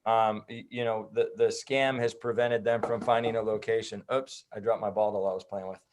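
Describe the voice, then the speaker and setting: A man's voice: lowish voice, little pitch movement, moderate pace. Easygoing American man chatting casually. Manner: loose, joking, folksy. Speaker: guest, activist group organizer. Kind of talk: casual chat.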